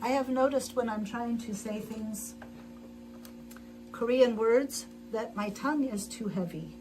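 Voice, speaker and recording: Brief: middle-aged woman host, chatty and rambling, slightly high voice, noisy home livestream mic. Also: unhurried. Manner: chatty, rambling, frequent laughs and restarts. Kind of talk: casual chat while sewing.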